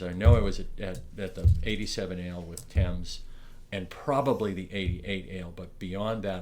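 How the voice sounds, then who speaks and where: A man's voice: low-pitched, slight background noise. A middle-aged man from Ontario, Canada, recalling his years as a morris dance barker in a rambling interview, with many restarts and fillers. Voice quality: loud projecting voice. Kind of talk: reminiscing oral history interview.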